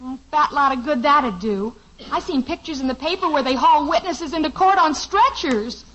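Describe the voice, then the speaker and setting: An actress playing a fretful, melodramatic teenage daughter in a 1950s radio sitcom, on a dull lo-fi old radio recording. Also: female voice, high-pitched, normal speed, fairly bright tone. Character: anxious dramatic teenage daughter.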